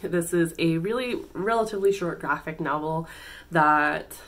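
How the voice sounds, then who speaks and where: A woman with a slightly low voice talks through her book picks for the month in a loose, chatty way. She is still congested from a cold, so her voice sounds a bit stuffy. She fills pauses with ums and often stops to correct herself. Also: fairly bright tone. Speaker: book channel host. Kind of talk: casual book recommendations.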